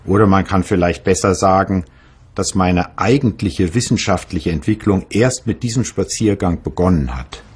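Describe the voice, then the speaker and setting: Husky, reflective male physicist. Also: low-pitched, moderate pace, darkish tone. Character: male physicist reading his own recollections, reflective. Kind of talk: dramatized first-person reading in a documentary.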